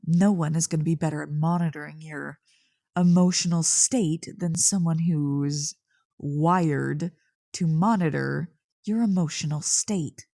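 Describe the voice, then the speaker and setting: A woman's voice: sits low, vocal fry, mellow, dry recording, studio quality, exaggerated voice. A woman playing a snarky tsundere young succubus who secretly cares, teasing and exasperated in an ASMR roleplay. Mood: reassuring, firm. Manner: teasing, exasperated, sighing, grudgingly soothing.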